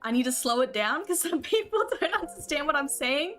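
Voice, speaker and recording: A woman's voice: high-pitched, lively intonation, fairly quick, a bit bright, soft background music. A woman with an Australian accent chatting casually with her viewers while streaming a game, laughing often.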